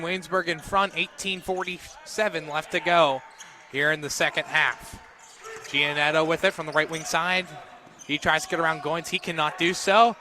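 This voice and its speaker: A man's voice: high voice, background noise. A man calling a live basketball game on radio as the play-by-play announcer.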